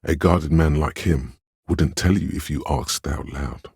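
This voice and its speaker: A man voicing a tender, perceptive inner voice of empathy in a video game, speaking in a measured, intimate, dramatic way with a husky, gravelly tone. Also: very deep voice, a little mellow, no room sound, clean studio sound, exaggerated voice. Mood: tender, knowing. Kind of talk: scripted game narration, second-person commentary.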